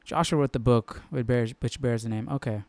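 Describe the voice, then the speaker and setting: Clear-voiced man musing casually, dry sound. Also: medium pitch, fairly even intonation, a little fast, darkish tone, no echo. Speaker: Bible study live stream host. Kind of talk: casual reaction commentary.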